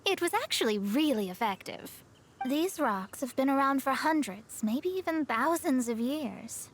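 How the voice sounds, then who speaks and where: A woman's voice: high-pitched, animated, a little slow, a little hiss or hum. A woman voicing a proud, self-assured young female astrologist in a video game, articulate and a little haughty. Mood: confident. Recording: faint music behind the voice.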